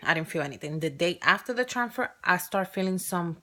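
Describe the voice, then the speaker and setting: Woman w/ strong spanish accent vlogging, fairly low voice, chatty, keeps correcting herself. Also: expressive, a little fast, fairly bright tone. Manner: chatty and open, with frequent restarts and self-corrections. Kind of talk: casual personal vlog.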